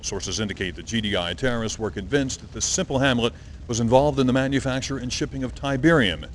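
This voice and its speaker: An actor playing a solemn propaganda news reporter delivering a theatrical, melodramatic field report in a video game. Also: male voice, mid-range voice, quick pace, a little hiss or hum, lightly acted. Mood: grave, indignant. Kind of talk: dramatic television news report.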